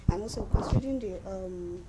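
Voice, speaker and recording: A woman's voice: medium pitch, a little fast, heavy background noise. A woman, an international student, answering interview questions casually with "like" and "I mean".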